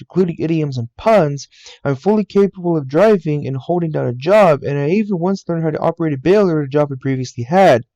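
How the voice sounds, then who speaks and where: A young American man narrates his own gaming channel in a matter-of-fact, earnest way, his voice a little higher than most men's yet warm and mellow. It is recorded close on a laptop mic in a room at home, so it comes through dry and somewhat muffled. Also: dry recording. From United States. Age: 20s. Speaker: YouTuber. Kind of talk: scripted voice-over narration. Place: game room in a family home.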